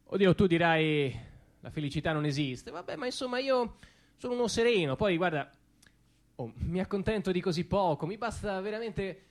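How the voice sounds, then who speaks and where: An actor playing a neurotic, anxious everyman in a theatrical comic monologue, halting and muttering, then frantically exclaiming. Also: high voice, lively intonation. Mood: musing, envious. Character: neurotic, self-deluding everyman, anxious and easily queasy. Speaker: stage monologist.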